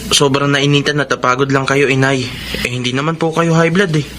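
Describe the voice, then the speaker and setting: A man's voice: medium pitch, little pitch movement, fairly quick, a bit bright, slight background noise, natural voice. A man playing a respectful, subdued grown son with a Filipino accent in a radio drama. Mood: concerned.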